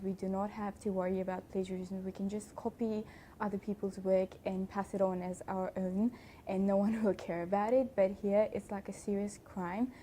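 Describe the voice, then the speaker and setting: A woman's voice: medium pitch, warm tone, a little hiss or hum. A young woman from Nepal, an accounting student explaining her experience in an earnest, casual way. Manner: earnest, explanatory, occasional light laughs. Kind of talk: casual testimonial.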